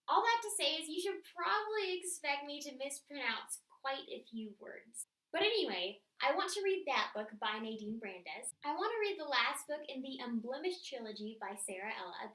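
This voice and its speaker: A woman with a high-pitched voice hosts a book vlog, chatting to her viewers in an explanatory way. She sounds set back from the microphone in a roomy, echoing space. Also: pushed and bright. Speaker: book vlogger hosting her channel. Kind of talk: scripted-sounding book tag chat to camera. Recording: roomy echo, distant microphone.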